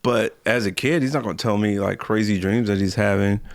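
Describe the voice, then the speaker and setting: A man's voice: lowish voice, steady tone, a little fast, no room sound, clean studio sound. An American man chatting casually as a podcast host, speaking colloquially and repeating phrases for emphasis.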